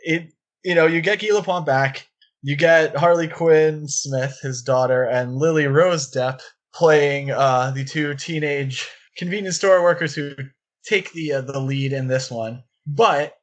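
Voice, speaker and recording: A man's voice: on the high side, a little slow. A man talking casually about films as a podcast co-host, enthusiastic and given to rambling asides. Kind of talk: casual film discussion.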